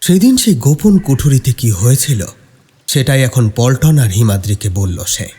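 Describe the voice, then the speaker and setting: A man with a husky voice narrating a scripted horror story in a dramatic way. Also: medium pitch, expressive, normal speed, dark tone.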